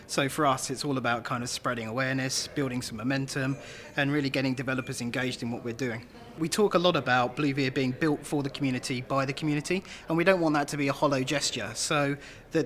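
A man's voice: mid-range voice, fairly bright tone. A man in his thirties speaking as a company representative in an informal interview. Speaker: developer programme representative. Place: large open-plan office, indoors.

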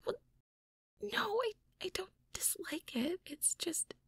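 A woman playing a shy, anxious young mage girlfriend in an intimate audio roleplay, hesitant and stammering in a breathy whisper. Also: very high voice, lively intonation, slow pace, dry recording, studio quality, heavily acted. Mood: shy, flustered. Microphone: close microphone, dry.

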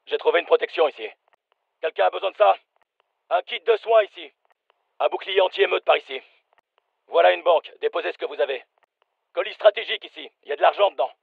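An actor playing a brisk special forces soldier, giving clipped, commanding combat callouts for a dubbed military game. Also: male voice, sits high, vocal fry, slightly rough, no echo, phone-quality sound, full character voice. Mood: brisk, focused.

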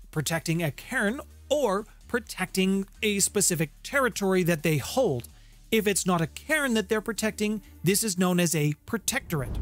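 A man in his thirties giving a conversational scripted explainer as a channel host, with emphatic, dramatic phrasing, recorded close on a studio microphone. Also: high-pitched. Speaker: host of a tabletop game lore channel. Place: home music room. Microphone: large studio microphone close up. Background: music.

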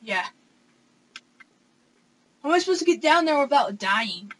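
A young man hosting a console game let's play from his room, excitable and gasping, with hesitant fillers. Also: extremely high pitch, unhurried, slight background noise. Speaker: gaming channel host. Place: home room with console screen.

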